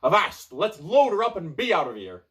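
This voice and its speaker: A man voicing a fierce red-bearded male pirate with loud, blustery pirate talk. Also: sits high, wildly swooping pitch, normal speed, pitched above the performer's own voice, heavily acted.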